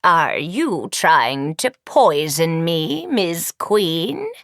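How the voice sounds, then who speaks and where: An ancient sorceress teacher from a fantasy storybook, with a dry, raspy voice. She drags her words out slowly and scornfully, stern and menacing, often dropping low in pitch. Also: sing-song, a bit bright, no echo, heavily acted. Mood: disappointed, sly.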